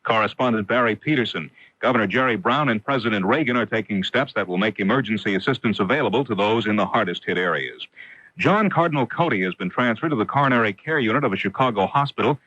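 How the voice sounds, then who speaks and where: A low-voiced American man anchors a radio newscast from a script. The sound is dry and close, with the muffled, limited band of an old radio recording. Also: fairly bright tone, no echo. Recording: dull lo-fi 1980s radio broadcast.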